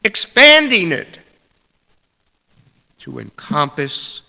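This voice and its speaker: A man reads a sermon very slowly, breaking it into deliberate phrases with pauses. His voice is strongly gravelly, with a sharp, forceful edge. Long reverb trails his words, as in a large church. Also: fairly high pitch, big pitch swings. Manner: deliberate, measured, broken into short phrases with pauses. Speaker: preacher reading a sermon. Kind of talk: scripted sermon read aloud.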